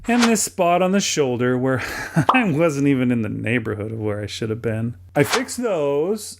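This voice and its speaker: A man's voice: fairly high pitch, background noise. A middle-aged American man narrates a how-to hobby video from a script, conversationally and with light self-deprecation. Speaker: toy hobbyist and video host.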